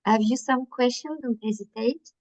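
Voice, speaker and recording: A woman's voice: fairly high pitch, moderate pace, a little mellow, highs cut off. A woman from France with a French accent, presenting at an online workshop with many fillers and restarts. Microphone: video call.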